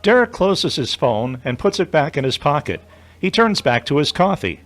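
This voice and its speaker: A man's voice: on the high side, very animated, normal speed, a bit bright. A man reading scripted narration for an audio drama as its narrator.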